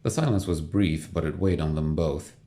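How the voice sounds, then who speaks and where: A man's voice: extremely low pitch, fairly even intonation, moderate pace, dark tone. A man narrating a scripted science fiction audio drama as its storyteller. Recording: music bed under the voice.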